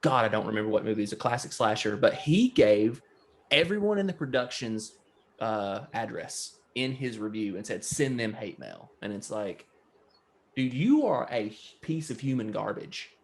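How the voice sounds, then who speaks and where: A young man with a low voice, co-hosting a casual movie podcast and talking bluntly with a rambling, joking manner. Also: expressive. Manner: rambling, blunt, quick to joke. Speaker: podcast co-host.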